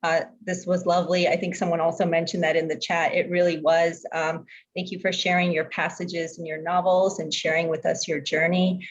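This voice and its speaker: Female, slightly low pitch, informal event wrap-up, slightly muffled audio. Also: little pitch movement, moderate pace, slight background noise. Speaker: festival organizer giving closing remarks. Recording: slightly dull lo-fi call.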